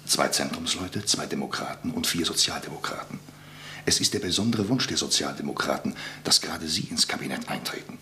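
Earnest simple lawyer, very deep, bright, hushed and halting. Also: male voice, slight background noise, exaggerated voice. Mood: earnest, pleading. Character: earnest middle-aged lawyer, humble, loyal monarchist. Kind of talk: radio drama acting.

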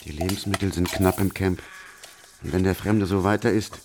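Radio play role: fretful, thrifty gold-camp man, lowish voice. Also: fairly even intonation, normal speed, darkish tone, a little hiss or hum. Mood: worried. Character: worried, thrifty male prospector in a frontier camp.

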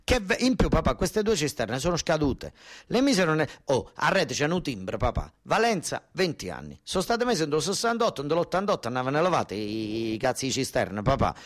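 A man's voice: on the high side, big pitch swings, fairly quick. Insistent southern Italian storyteller, male. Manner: insistent, repeating words for emphasis. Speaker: stage actor and storyteller. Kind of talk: theatrical narrative monologue.

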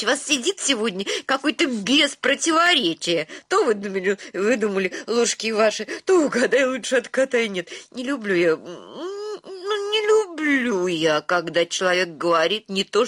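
A woman's voice: medium pitch, big pitch swings, normal speed, a bit bright. An actress playing a stubborn young landowner's daughter, argumentative and insistent, stretching her stressed words in theatrical radio comedy style. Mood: reproachful.

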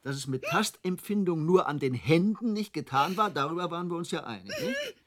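Boyish inventor, swooping intonation. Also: male voice, on the high side, huge pitch swings, fairly quick, slight background noise. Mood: excited, amused. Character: playful, eager male inventor showing off his prototype. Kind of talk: radio drama acting.

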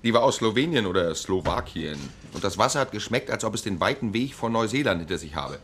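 An actor playing a dry, grumbling junior police detective in a radio play. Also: male voice, fairly low pitch, expressive, quick pace, a bit bright, slight background noise.

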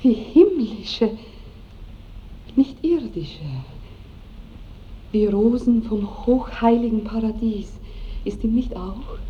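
A woman's voice: fairly high pitch, slow, pauses often. A woman playing an innocent, very young noble bride in a radio play, girlish and fervent. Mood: hushed rapture. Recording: muffled, echoey 1960s radio with noise.

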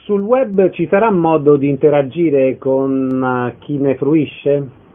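Higher-voiced male radio interviewer. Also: slow, phone-quality sound.

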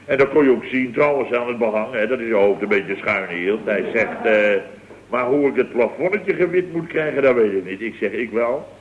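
An actor playing a blustering, easily flustered building-firm boss who rambles emphatically, in late 1960s radio comedy style. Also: male voice, medium pitch, normal speed, close to an everyday voice. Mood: indignant storytelling. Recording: dull, noisy vintage radio recording.

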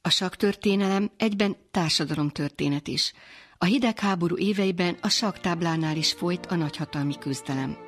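Low-voiced woman radio host. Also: faint music behind. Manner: clear, measured announcing. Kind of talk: scripted radio presentation.

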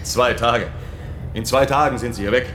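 An actor playing a protective, earnest helper in the underground in a German science-fiction radio drama, speaking with solicitous urgency. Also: male voice, mid-range voice, normal speed, background noise, lightly acted. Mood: brisk, welcoming.

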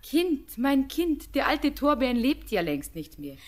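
Gentle teenage girl, patient and motherly, high voice with lively swoops, 1940s radio drama. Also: takes it slowly, full character voice. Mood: soothing, explaining. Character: gentle, soothing sixteen-year-old girl. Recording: 1949 radio recording.

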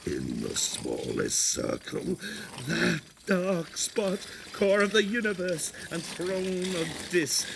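Clear mechanical noise surrounds a brooding, gravelly announcer of an infernal radio station. He speaks very slowly in broken fragments, switching between German and English as in an experimental radio play. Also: high-pitched, big pitch swings, a bit bright, heavily acted. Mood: incantatory, intense. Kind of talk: experimental radio drama monologue.

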